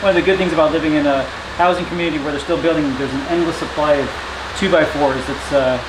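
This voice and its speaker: Casual man in an echoey garage. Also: on the high side. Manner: relaxed, matter-of-fact, frequent "uh" pauses. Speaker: motorcycle builder vlogger. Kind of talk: casual workshop narration. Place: hot home garage with a fan running. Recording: echoey garage, faint fan noise. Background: fan noise.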